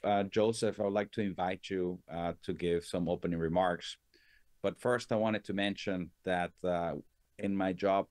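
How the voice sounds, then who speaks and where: A man moderating a webinar panel, introducing speakers in a measured way with occasional fillers. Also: low-pitched, darkish tone, no room sound, studio quality. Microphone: video call.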